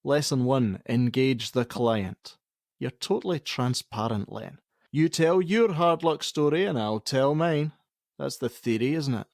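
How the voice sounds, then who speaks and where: A man's voice: mid-range voice, expressive, unhurried, creaky, a little mellow, no echo, studio quality. A male actor with a Scottish accent playing a blunt, prickly middle-aged father who works at a helpline, in a radio play. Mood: wry, guarded. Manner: blunt, argumentative, sarcastic.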